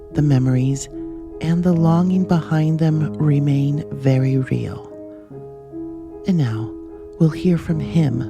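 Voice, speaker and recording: A podcast host speaking in a measured, earnest, reflective way, with scripted narration and gentle interview questions. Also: medium pitch, vocal fry.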